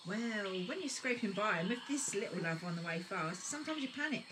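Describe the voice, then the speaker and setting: A woman acts as a wistful, self-reliant settler expecting a child in an audio drama. Her mid-pitched voice is earnest and reflective. Clear ambient noise with insects surrounds her. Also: sing-song, brisk, lightly acted. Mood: wistful, proud. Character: resourceful, wistful expectant mother, female settler.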